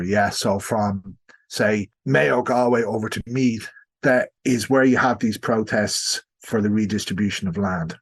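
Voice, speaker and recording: An Irish man with a mid-pitched voice talks as a guest historian on a podcast. He explains at length and in a discursive, conversational way, building his points step by step. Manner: discursive, explanatory, checks listener with "right?".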